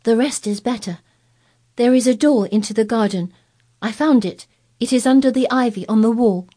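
A woman's voice: mid-range voice, normal speed, dark tone, pitched above the performer's own voice, close to an everyday voice. A woman voicing a blunt, sour-tempered young girl in a storybook reading, frank and earnest.

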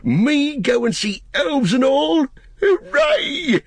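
A man's voice: a little high, animated, unhurried, creaky, slightly rough, no room sound, voice pushed up, heavily acted. A man voicing a simple-hearted young male hobbit gardener from a storybook, deferential, rustic and pleading. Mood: overjoyed.

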